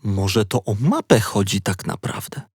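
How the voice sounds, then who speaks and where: Animated male children's audiobook narrator. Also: lowish voice, measured pace, husky, dry recording, studio quality. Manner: playful, animated storytelling. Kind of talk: expressive scripted children's story narration.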